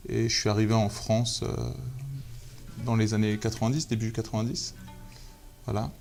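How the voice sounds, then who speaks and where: A man's voice: fairly low pitch, flat delivery, moderate pace, darkish tone. Hesitant man with faint music behind. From Algeria. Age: 30s. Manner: hesitant, many fillers and restarts. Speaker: guest photographer. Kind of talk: casual interview talk.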